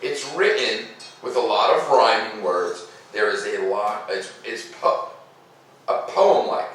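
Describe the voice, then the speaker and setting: Echoey, bright-voiced American man teaching kids. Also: fairly low pitch, lively intonation, slow, a little hiss or hum. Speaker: teacher reading stories aloud to young pupils. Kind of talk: casual chat to children, then storybook read-aloud. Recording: echoey room, a bit far from mic.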